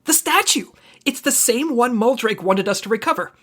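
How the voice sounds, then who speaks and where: Earnest driven agent, high husky voice, audio drama. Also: female voice, expressive, normal speed, a bit bright. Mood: urgent.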